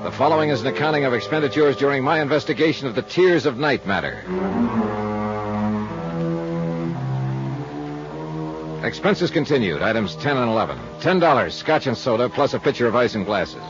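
A man's voice: medium pitch, expressive, fast, lo-fi sound, over loud music, close to an everyday voice. A man acting a hard-boiled, unflappable private investigator in a 1950s radio detective drama, with a clipped, dry, matter-of-fact delivery. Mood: dry narration. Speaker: lead actor and narrator of radio drama.

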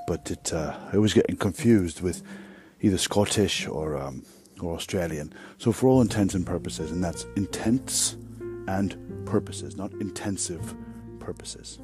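A man hosting a podcast, talking in a casual, rambling monologue, profane and sarcastic. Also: low-pitched. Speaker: podcast host.

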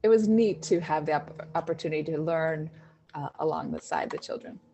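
A woman, an education director, answering interview questions in a relaxed storytelling way, with fillers and restarts. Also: low voice, moderate pace, slightly rough, dull audio. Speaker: Montessori education director, guest.

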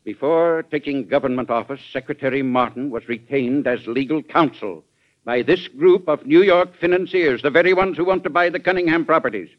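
An actor playing an earnest, indignant American government official in an old-time radio drama, formal and oratorical in delivery. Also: male voice, on the high side, brisk, lightly acted. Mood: accusing. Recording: dull vintage radio recording.

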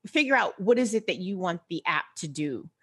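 A woman with an American accent chatting casually as an interview guest, animated and explaining things step by step with rhetorical questions. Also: lowish voice, fairly quick, slightly rough. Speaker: interview guest, personal finance expert.

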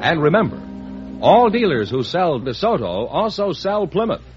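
A man reading the closing announcements of an old radio show in a smooth, polished style. His voice is fairly high and lively, and the lo-fi archival recording carries music beneath him. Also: normal speed. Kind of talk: 1950s radio announcing and sponsor reads.

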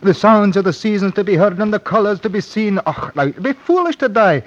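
An actor playing a proud, brooding young Scottish prisoner in an old-time radio drama, terse and lilting. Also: male voice, high voice, animated, a little fast. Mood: pleading. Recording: muffled vintage radio recording.

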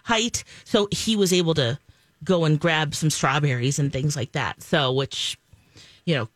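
Relaxed woman co-host, dry sound. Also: low voice, a little slow, dry recording. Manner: relaxed, many fillers and laughs. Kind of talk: casual chat.

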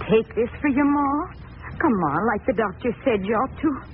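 A woman's voice: a little high, expressive, normal speed, close to an everyday voice. A woman playing a worried, tender farm mother, soothing and coaxing, in an old-time radio drama. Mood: anxious, soothing. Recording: tinny, hissy old radio broadcast.

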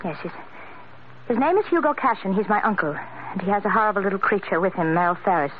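An actress playing a polite, anxious young heiress who keeps herself tightly controlled, in old-time radio drama style. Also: female voice, medium pitch, animated, quick pace, natural voice. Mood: anxious, restrained. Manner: polite, restrained, earnest. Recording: dull vintage radio recording with faint hiss.

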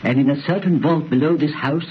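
A man's voice: on the high side, brisk, lightly acted. An actor playing a courteous, solemn English country clergyman with a formal, hesitant manner in an old radio play. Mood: grave, ominous. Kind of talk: 1930s radio drama acting. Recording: muffled, faintly noisy old radio.

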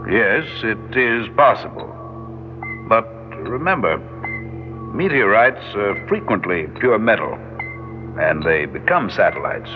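An actor playing an older, cautious, rational space scientist in old-time radio drama style, measured and deliberate. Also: male voice, fairly low pitch, expressive. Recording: dull old radio, music behind.

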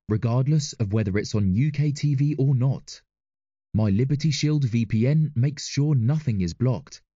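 A man reading a scripted advertisement in an upbeat sales-pitch manner. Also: warm tone, dry recording, lo-fi sound. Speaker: ad reader. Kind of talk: read advertisement.

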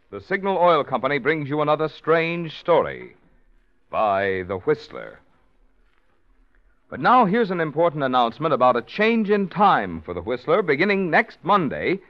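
A male announcer reading a formal sponsor announcement on a 1940s radio show. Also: fairly high pitch. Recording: dull old radio recording.

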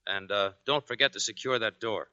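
An actor playing a bitter, defensive sailor with a clipped, stern way of talking, in 1940s radio drama style. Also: male voice, on the low side, brisk, heavy background noise, natural voice. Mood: curt, stern. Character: curt, bitter, defensive sailor under suspicion. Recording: dull 1940s radio recording.

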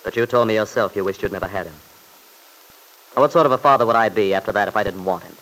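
A man's voice: lowish voice, fast, a bit bright, close to an everyday voice, poor audio. An actor playing a determined young father in an old-time radio drama, earnest and firm but polite.

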